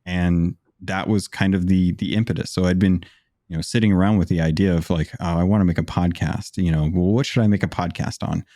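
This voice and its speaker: Man, deep voice, mellow tone, relaxed rambling chat, podcast guest. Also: brisk, no echo, clean studio sound. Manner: relaxed, rambling with many restarts. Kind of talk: casual conversation.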